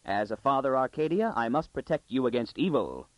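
Man reading as gently stern, formal father. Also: medium pitch, animated, brisk, no echo, muffled recording, natural voice. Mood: calmly reproving. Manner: sweetly reproving, formal. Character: composed, gently stern father, a learned doctor.

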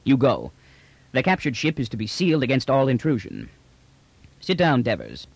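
Man acting an imperial general, formal, precise, smooth authority, cool menace, dry close recording. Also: mid-range voice, wildly swooping pitch, normal speed, darkish tone, no room sound, muffled recording, exaggerated voice. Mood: commanding. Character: commanding, confident male imperial general, coolly menacing. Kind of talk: audiobook character dialogue.

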